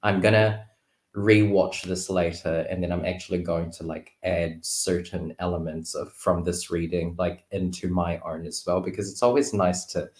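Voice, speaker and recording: A man with a low voice speaks casually as a guest in a conversation. He thinks aloud in a reflective, loosely phrased way. The sound is roomy, with noticeable echo around his words. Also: fairly even intonation, moderate pace. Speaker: guest tarot reader. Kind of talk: casual chat.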